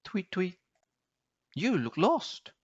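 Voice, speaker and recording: Man putting on a chirpy high little storybook bird. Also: sing-song, drawn-out words, slightly rough, lo-fi sound, exaggerated voice. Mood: chirpy, gentle. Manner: chirping tweets, prodding questions. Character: small chirpy bird, gently questioning guide. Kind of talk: storybook character voice.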